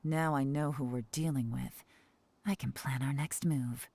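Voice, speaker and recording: A woman voicing a shrewd, self-assured female intelligence agent in a video game, composed and deliberate. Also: a bass voice, fairly even intonation, a little mellow, a little hiss or hum. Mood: cool, analytical.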